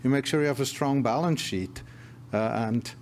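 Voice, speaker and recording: A man's voice: medium pitch, moderate pace, darkish tone, a little hiss or hum. Earnest male executive with restarts. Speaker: company executive presenting. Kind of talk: investor presentation remarks. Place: conference room.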